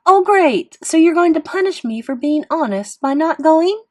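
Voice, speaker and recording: A woman's voice: mid-range voice, animated, a little slow. A woman voicing a defiant, irritated teenage big sister in an audiobook, snappish and accusing. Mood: outraged.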